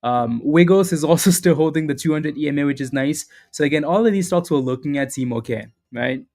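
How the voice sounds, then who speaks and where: A man with a mid-range voice hosts a live trading stream in a loose, upbeat way. He repeats himself and calls viewers by name, and he sounds a little hoarse, clearing his throat now and then. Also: mid-range voice, a little mellow. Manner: casual, upbeat, repeats phrases, greets chatters by name. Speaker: stock trading livestream host. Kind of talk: live market commentary with chat shout-outs.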